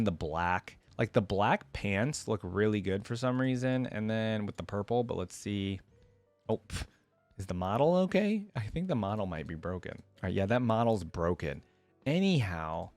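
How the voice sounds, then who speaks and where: A man's voice: on the low side, sing-song, measured pace, no room sound, soft background music. A man giving casual, unscripted reaction commentary as a video host, thinking aloud informally with frequent exclamations.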